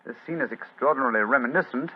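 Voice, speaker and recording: An actor playing an incisive English gentleman detective with a British accent and a crisp, authoritative manner, in 1940s radio style. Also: medium pitch, racing, lightly acted. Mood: observant, musing. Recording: muffled old-time radio recording.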